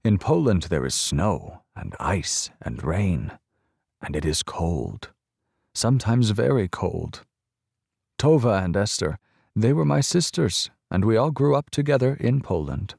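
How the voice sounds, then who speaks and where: A man voicing an elderly Polish-born grandmother, explaining slowly and softly to a child in an audiobook. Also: fairly low pitch, sing-song, vocal fry, slightly rough, no echo, studio quality, exaggerated voice. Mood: gentle. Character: elderly woman, ghost-seeing Polish-born grandmother, gentle.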